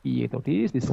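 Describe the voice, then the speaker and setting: A man's voice: mid-range voice, vocal fry, darkish tone, breathy edge. A man in a heated online debate, combative and demanding evidence. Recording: dry lo-fi audio.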